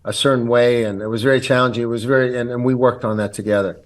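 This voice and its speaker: A man's voice: medium pitch, fairly even intonation, fast talker. Earnest middle-aged man, native Italian. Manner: earnest storytelling with frequent ums and restarts. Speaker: guest actor. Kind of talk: casual interview chat.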